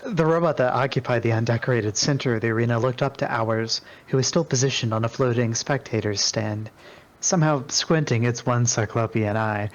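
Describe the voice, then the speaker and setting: Man narrating, mid-pitched voice. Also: fairly quick, lo-fi sound. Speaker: reader in group roleplay. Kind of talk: scripted story narration.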